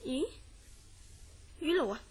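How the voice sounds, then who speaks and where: An actress playing a curious, easily astonished woman in a horror radio drama, speaking in an expressive, questioning way. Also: sits high, slow. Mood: curious, puzzled. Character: curious, astonished woman recounting a friend's strange dreams. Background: background music.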